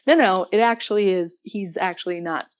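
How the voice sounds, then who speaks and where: A woman's voice: medium pitch, a little fast, highs cut off, a little hiss or hum. A woman chatting casually and enthusiastically about literature as a podcast guest.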